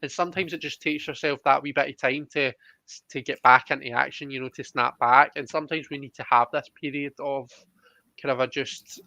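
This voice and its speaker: A man's voice: mid-range voice, steady tone, a little fast, creaky, pushed and bright, no room sound. A man chatting casually as the host of a live tarot reading, over a slightly muffled online call. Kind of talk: casual chat, reading viewer comments aloud.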